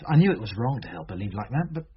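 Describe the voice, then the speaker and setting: An actor with a British accent playing an anxious, reluctant teenage schoolboy in a radio drama, talking haltingly. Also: male voice, on the low side, big pitch swings. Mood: nervous, defensive. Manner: halting, nervous. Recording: muffled, noisy old radio recording.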